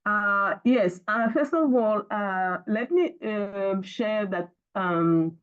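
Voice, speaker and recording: A woman's voice: medium pitch, clean tone. Portuguese-speaking woman on a muffled call. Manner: halting, many fillers and restarts. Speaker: fellow sharing in a group call. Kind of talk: casual group discussion. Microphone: video call. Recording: muffled video call audio.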